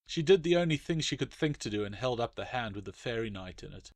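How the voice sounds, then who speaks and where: A man's voice: mid-range voice, expressive, fast talker, a little mellow, no echo, studio quality. A man reading his own short story aloud as a dramatic, scripted narration.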